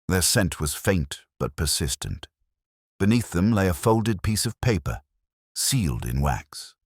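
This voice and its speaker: A man narrating a scripted first-person detective story in a measured, reflective, literary manner. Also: very deep voice, no room sound, studio quality.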